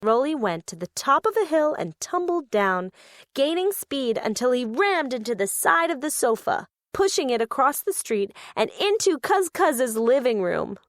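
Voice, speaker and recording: A woman narrating a children's story in an expressive read-aloud style. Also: high voice, normal speed, no echo, studio quality.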